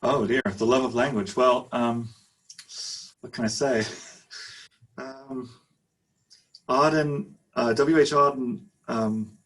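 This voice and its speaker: A man poet answering audience questions at a reading, hesitant and filling pauses with ums. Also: mid-range voice, steady tone, a bit bright, poor audio.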